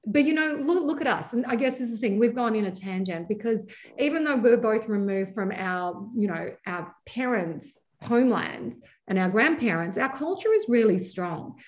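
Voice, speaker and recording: An Australian woman in her forties talks casually as a podcast guest, her voice sitting a bit high. She jokes freely and pokes fun at herself between points. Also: expressive. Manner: joking, self-deprecating, quick to laugh. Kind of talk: casual chat.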